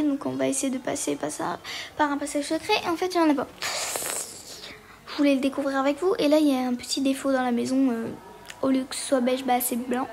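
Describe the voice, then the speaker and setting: Hesitant, chatty woman with a high voice narrating her gameplay; faint noise in the background. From Portugal. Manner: hesitant, many fillers, chatty. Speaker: gaming video creator. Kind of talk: casual game walkthrough commentary.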